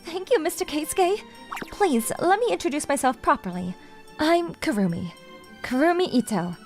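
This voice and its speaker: A woman's voice: high voice, lively intonation, moderate pace, a little mellow. A woman voicing a sweet, polite young female idol with an earnest, coaxing way of talking, in a manga dub. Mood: grateful, polite. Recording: music under the voice.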